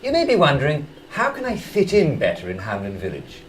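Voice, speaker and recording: A man's voice: on the high side, wildly swooping pitch, fairly quick, a little hiss or hum. An actor playing a relentlessly jolly, chummy male television presenter giving theatrical etiquette advice in a 1960s style. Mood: forced cheer.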